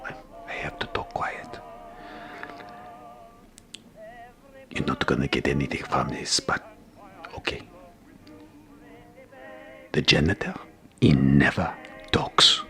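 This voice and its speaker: An actor playing an anxious, secretive boss in an audio drama, stammering and dropping into hushed tones. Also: male voice, rumbling low, full character voice. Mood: conspiratorial. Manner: stammering, flustered, lapsing into hushed whispers. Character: anxious, secretive boss of a janitor, devoted husband. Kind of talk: theatrical audio drama phone monologue.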